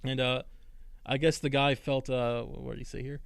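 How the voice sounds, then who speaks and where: A man's voice: medium pitch, flat delivery, normal speed, gravelly, smooth, dry recording, clean studio sound. A man from Iraq hosting a casual live podcast, rambling with lots of restarts and fillers, sounding sleepy. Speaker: podcast host.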